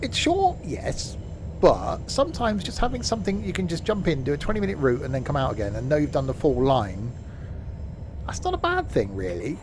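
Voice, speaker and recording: A man's voice: a little high, huge pitch swings, brisk, background noise. Chatty man live-streaming a game. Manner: rambling, chatty, with many fillers and asides. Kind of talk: casual live-stream chat while playing.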